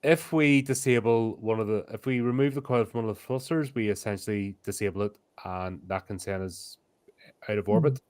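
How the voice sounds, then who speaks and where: Smooth-voiced man planning his game moves. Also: on the low side, brisk, a little mellow. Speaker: tabletop game player. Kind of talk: casual in-game planning, narrating own actions.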